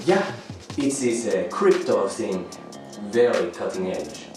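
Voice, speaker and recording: A man's voice: fairly low pitch, big pitch swings, slow pace, a little mellow. Very echoey, swooping, placating man, acted. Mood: apologetic, eager. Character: needy, apologetic male houseguest, clingy, awkwardly eager to please. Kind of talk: radio thriller dialogue. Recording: very echoey room, music underneath.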